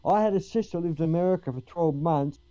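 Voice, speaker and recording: A man with an Australian accent chats casually in a mellow, fairly high voice. The sound is close and dry, though a bit muffled, as on an online call. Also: fast talker, dry recording. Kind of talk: casual chat.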